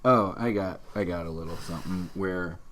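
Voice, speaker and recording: A man's voice: sits low, moderate pace, fairly bright tone, slight background noise. A man hosting a comedy podcast, chatting casually in a wry, sarcastic, joking way.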